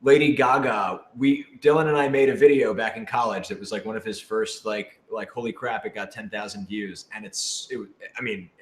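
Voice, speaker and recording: A man's voice: lowish voice, a bit bright. A man in a casual Q&A chat, effusive and jumping from one thought to the next. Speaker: voice actor in an audio drama. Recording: roomy, echoey room sound.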